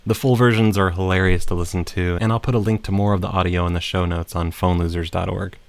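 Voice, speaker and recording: A man's voice: sits low, steady tone, quick pace, vocal fry. A man hosting a podcast, reading scripted narration. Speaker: podcast host.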